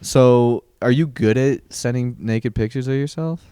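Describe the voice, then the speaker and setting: A man's voice: medium pitch, measured pace, dark tone, clear, resonant tone, no room sound, clean studio sound. A man hosting a podcast in a casual chat, asking playful, teasing questions in an easygoing way. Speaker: podcast host.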